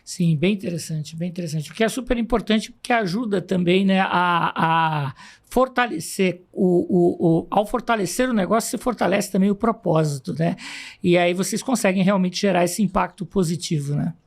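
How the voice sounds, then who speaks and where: Host, some vocal fry, meandering friendly questions. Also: mid-range voice, moderate pace. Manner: rambling questions with frequent "né". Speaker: podcast host, interviewer. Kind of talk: casual interview questions.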